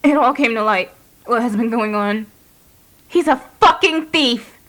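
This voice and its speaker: A woman's voice: fairly high pitch, unhurried, fairly bright tone. A woman acting in an audio drama as a bitter, anguished young wife who wants out of motherhood. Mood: shocked, angry. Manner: bitter, emotional.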